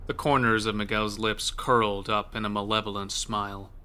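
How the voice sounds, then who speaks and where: Male horror narrator, fairly deep with a sharp bright edge, scripted storytelling. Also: level tone, moderate pace.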